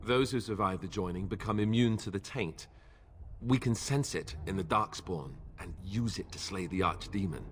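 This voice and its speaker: An actor playing an earnest, solemn warrior in a fantasy game, speaking formally and reverently. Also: male voice, sits low, normal speed, a little hiss or hum, lightly acted. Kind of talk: dramatic fantasy game acting.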